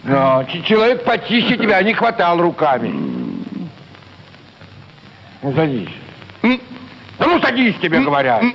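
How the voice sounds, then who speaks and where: A bumbling village orderly in a radio play, high-voiced and swooping in pitch. He blusters and fusses, repeating his words in a stammer. The old recording is muffled and noisy. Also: big pitch swings, normal speed, creaky, heavily acted. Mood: indignant. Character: bumbling, boastful village medical orderly pulling a tooth, easily offended. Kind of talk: theatrical radio play acting.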